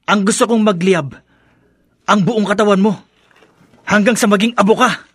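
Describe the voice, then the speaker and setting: An actor playing a vengeful man who hunts demons, melodramatic, with a high, raspy voice in a horror radio drama. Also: normal speed, dry recording. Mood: taunting, vengeful. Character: vengeful taunting human male, hunting a demon with cross.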